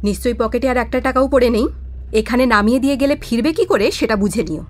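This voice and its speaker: An actress playing a fed-up, scornful ex-wife in an audio drama, cutting, commanding and reproachful. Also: female voice, a little high, quick pace, close to an everyday voice. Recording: vehicle noise behind the voice. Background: car ride ambience.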